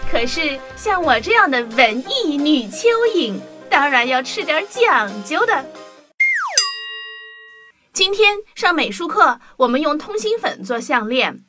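A woman telling a children's story in a playful, animated first-person voice. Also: sits high, unhurried. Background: background music.

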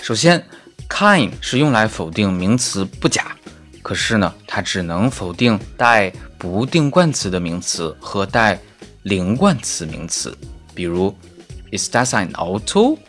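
A man's voice: fairly low pitch, very animated, fairly bright tone, faint music behind. A man who is a native Chinese speaker, teaching German grammar in a scripted but conversational lesson with carefully spoken examples. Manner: didactic, conversational particles, slow careful example sentences. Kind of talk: scripted audio lesson with casual asides.

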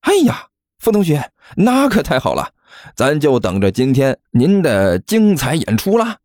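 A man's voice: a little high, wildly swooping pitch, measured pace, darkish tone, dry recording, studio quality, voice pushed up. A man voicing a smug, chubby male student in an audiobook, drawling with mock concern as he needles his rival. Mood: gleeful gloating. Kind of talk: audiobook character acting.

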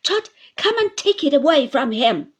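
A woman reading aloud, voicing a fierce, blunt elderly aunt who speaks loudly and emphatically. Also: high voice, sing-song, normal speed, pitched above the performer's own voice. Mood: indignant. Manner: loud and emphatic.

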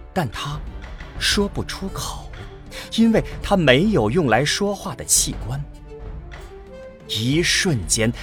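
Slow, expressive male narrator. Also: medium pitch. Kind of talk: dramatic scripted narration of a radio drama. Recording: music behind the narration.

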